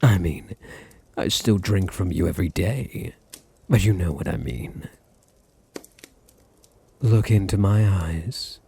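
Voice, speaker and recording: A British man acting a seductive, domineering vampire master in an ASMR roleplay, breathy and often near a whisper, with purring, condescending endearments. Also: deep voice, mellow. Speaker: audio roleplay voice actor.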